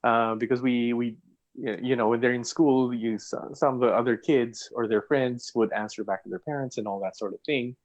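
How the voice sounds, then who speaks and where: A man speaking as a panel guest, relaxed and repeating words as he goes. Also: mid-range voice, moderate pace, muffled recording. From Philippines. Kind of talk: casual panel chat.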